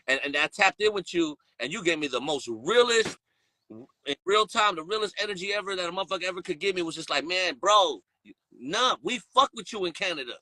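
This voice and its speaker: A man's voice: high voice, lively intonation, a little fast, sharp tone, dry recording, low-quality recording. A man hosting a casual podcast chat, talking in loose slang, rambling and laughing often. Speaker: podcast host.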